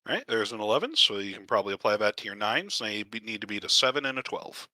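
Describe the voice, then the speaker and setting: A man running an online tabletop game as game master, relaxed and joking, often laughing. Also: lowish voice, racing, dry recording.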